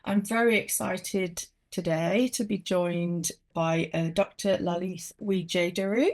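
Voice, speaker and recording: A woman's voice: on the low side, steady tone, slow pace. A woman hosting a podcast interview, chatty and upbeat, with frequent ums and easy laughter. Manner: warm, laughs often, many ums. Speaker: podcast host. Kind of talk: casual interview chat.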